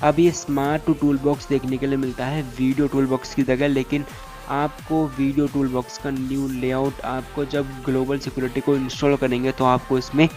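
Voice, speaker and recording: Even-toned man giving a tech tutorial. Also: medium pitch, normal speed, soft background music. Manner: repetitive instructional phrasing, English tech terms mixed in. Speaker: tech YouTuber. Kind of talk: step-by-step phone feature tutorial.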